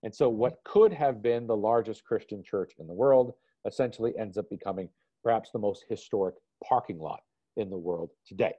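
A man giving a relaxed online art history lecture, loose and conversational, with frequent "you know" fillers. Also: on the low side, a little fast, husky, no echo. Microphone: video call. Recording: dull lo-fi video call audio.

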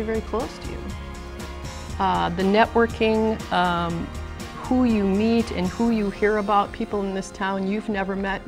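A middle-aged woman job coach giving an energetic, emphatic pep talk in a documentary interview. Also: medium pitch, gravelly.

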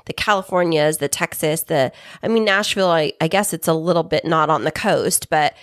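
A woman's voice: deep voice, fairly quick, dry recording, clean studio sound. An American woman co-hosting a podcast, asking questions in a casual, chatty way. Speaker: podcast co-host, interviewer. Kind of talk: casual chat.

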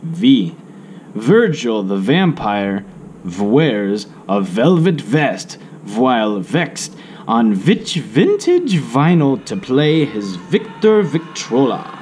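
Slow, lively-pitched man reading a picture book. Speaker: children's book read-aloud narrator. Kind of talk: slow read-aloud of an alphabet picture book. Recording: faint background noise.

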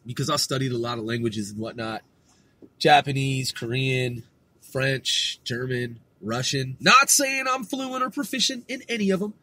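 A man with a West Coast accent rambles through his solo podcast in loose slang. His voice sits fairly high for a man and has a husky edge. The recording is close and dry, with no room sound. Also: bright, forceful tone, dry recording. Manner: rambling, laid-back slangy talk with lots of swearing and fillers. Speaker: podcast host. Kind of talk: casual solo monologue.

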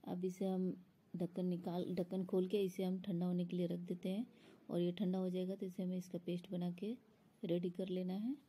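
A woman's voice: lowish voice, little pitch movement, moderate pace, dark tone, slightly rough. A woman explaining a recipe step by step in an instructional voice-over, as a home cook. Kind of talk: step-by-step cooking tutorial voice-over.

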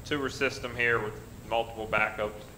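A middle-aged man giving a plain, halting department report at a city council meeting, with many pauses. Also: medium pitch, fairly even intonation, pushed and bright, a little hiss or hum. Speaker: council member. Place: council chamber at a dais. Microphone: desk mic. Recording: roomy council chamber.

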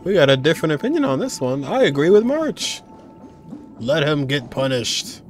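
A man's voice: fairly high pitch, normal speed. Young guy streaming a game reaction, chill and musing, game music going behind. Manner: relaxed, musing, laughs often. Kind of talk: casual reaction commentary. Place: indoor room at desk.

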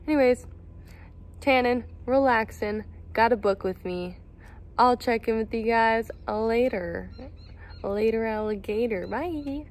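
A woman in her twenties chatting casually to a phone camera held at arm's length, upbeat and rambling with asides. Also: mid-range voice. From Arizona. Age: 20s. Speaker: vlogger. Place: car, home and outdoor trail.

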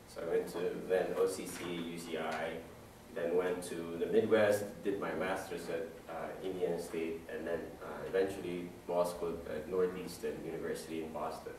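A man's voice: low voice, slight background noise. A middle-aged man from Ethiopia giving a guest talk to a class, conversational and full of fillers and restarts. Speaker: guest lecturer. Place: large dim lecture room. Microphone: far camera. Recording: echoey room from far off.

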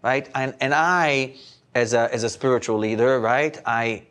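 A man's voice: medium pitch, unhurried, a bit bright. A middle-aged man from Belgium, a rabbi, speaking thoughtfully in a panel discussion and often checking in with "right?". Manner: thoughtful, often adds "right?" to his points. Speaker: rabbi and spiritual counselor. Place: indoor studio set with plants.

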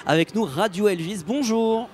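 A man's voice: high voice, normal speed, very noisy. A middle-aged man hosting a festival radio interview, familiar and teasing in his questions. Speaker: radio host. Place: festival radio booth in front of a sponsor backdrop. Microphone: handheld radio mic, wearing headphones.

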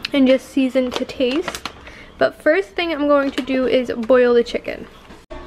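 High-voiced chatty young mom. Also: female voice, background noise. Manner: chatty, talking straight to viewers with fillers. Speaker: family vlogger. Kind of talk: casual cooking voice-over.

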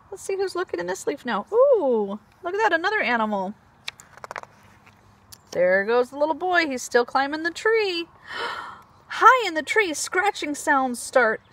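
High female voice, very animated, preschool teacher, picture book read-aloud, expressive storytelling. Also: measured pace.

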